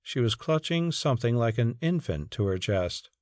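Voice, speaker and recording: A man's voice: mid-range voice, very animated, moderate pace, no echo, clean studio sound. A man narrating a story as a scripted, dramatic audiobook reading.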